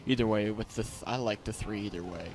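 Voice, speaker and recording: A man giving casual, unscripted video game commentary, rambling and halting as he goes, as a reviewer. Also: fairly low pitch, noisy background. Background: game cutscene audio and music.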